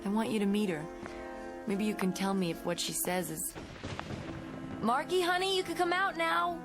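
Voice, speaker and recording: A woman's voice: mid-range voice, moderate pace, over music, lightly acted. An actress playing an anxious, urgently questioning woman in a television psychological horror drama. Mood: uneasy. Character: anxious grown woman piecing together a buried childhood memory.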